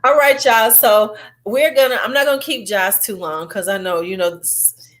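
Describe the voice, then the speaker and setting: A woman's voice: mid-range voice, normal speed, fairly bright tone. A middle-aged woman with a Midwestern accent, hosting a casual live chat and rambling with frequent laughs. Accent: Chicago, Midwestern. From American Midwest. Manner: chatty, rambling, laughs often. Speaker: livestream host.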